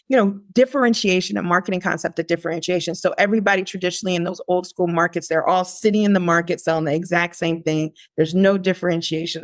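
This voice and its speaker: Animated woman telling a story, dry sound. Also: lowish voice, no room sound. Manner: animated, lively quoting of her younger self; frequent "like" fillers and restarts. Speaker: podcast guest. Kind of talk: conversational storytelling.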